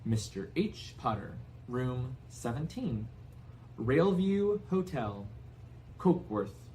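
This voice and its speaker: A man's voice: medium pitch, sing-song, slow pace, mellow, slight background noise, rough recording. A man voicing a polite female hotel owner in a storybook reading. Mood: polite, hesitant.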